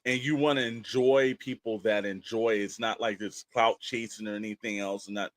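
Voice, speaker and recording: A man's voice: fairly low pitch, steady tone, moderate pace, no room sound. An American man co-hosting a podcast in a casual, chatty style, speaking informally and repeating phrases for emphasis. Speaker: podcast co-host.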